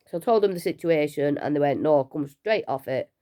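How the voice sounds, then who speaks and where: A woman, unwell and low on energy, talking casually and rambling a little in a personal vlog from home. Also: animated, brisk, mellow. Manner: chatty, rambling, self-deprecating with small laughs. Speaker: vlogger sharing her cancer treatment.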